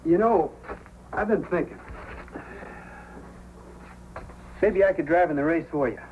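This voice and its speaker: A man's voice: a little high, brisk, a little hiss or hum, natural voice. An actor playing an eager, earnest young male helper in old-time radio drama style. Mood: pleading. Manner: earnest, pleading. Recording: muffled old radio recording with music.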